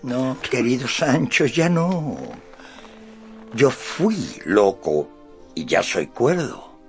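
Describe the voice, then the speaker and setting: An actor playing a dying old gentleman, once a mad knight, in solemn, weary, declamatory radio drama style. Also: medium pitch, big pitch swings, very slow, soft background music, heavily acted. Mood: weary, resigned.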